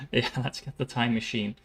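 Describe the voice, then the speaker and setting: Male game streamer, mid-pitched voice, casual off-the-cuff commentary, thinking aloud, dryly joking. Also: husky. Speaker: livestreamer playing a game.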